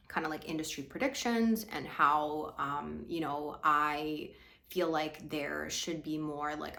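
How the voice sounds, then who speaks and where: A woman's voice: low-pitched, fairly bright tone. A young woman from Hong Kong, a business coach talking casually to her audience, full of "like" fillers and light laughs. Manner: chatty, many "like" fillers, laughs often. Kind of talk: casual monologue to camera.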